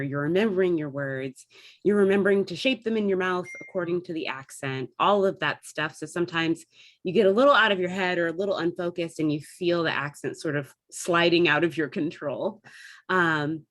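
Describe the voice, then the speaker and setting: Low-pitched woman explaining in an interview. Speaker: theatre artistic director and actor, interview guest. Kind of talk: conversational interview.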